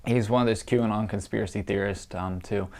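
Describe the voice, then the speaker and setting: A man's voice: lowish voice, fairly even intonation, brisk, a little mellow. A young man talking in casual political commentary, congested and nasal from allergies, opinionated and scornful with many fillers. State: congested, stuffy nose from allergies.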